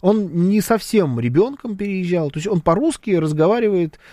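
Animated sarcastic man, lively pitch. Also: moderate pace, no room sound, clean studio sound. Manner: animated, sarcastic, talks with hesitations. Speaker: commentator reading viewer comments. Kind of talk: casual political commentary.

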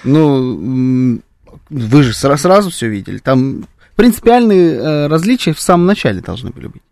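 A man's voice: mid-range voice, sing-song, normal speed, gravelly, a little mellow, a little hiss or hum. A man co-hosting a live radio talk show, speaking hesitantly and wryly, with many fillers and restarts.

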